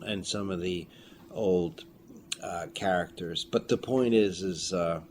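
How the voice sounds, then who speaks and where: A man being interviewed as a filmmaker and audio drama creator, telling anecdotes in a casual, rambling, animated way. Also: sits low. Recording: noisy room ambience.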